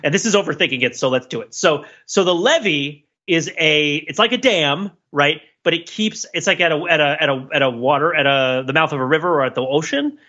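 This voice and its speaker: A man's voice: on the high side, fairly quick, hardly any pauses, pushed and bright, lo-fi sound. A man hosting a casual podcast discussion, thinking aloud in a rambling, playfully analytical way. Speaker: podcast host.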